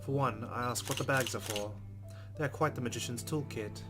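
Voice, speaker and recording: A man reads a visual novel aloud to his viewers and drops wry comments in between. His voice is mid-pitched and even. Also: brisk, music in the background. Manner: wry asides between narration. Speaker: game streamer.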